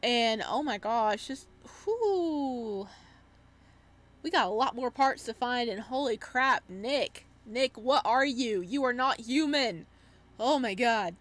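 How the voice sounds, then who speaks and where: A woman giving casual let's-play commentary and reading game text aloud, with an excitable, reactive manner. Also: a little high, takes it slowly. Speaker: gaming streamer.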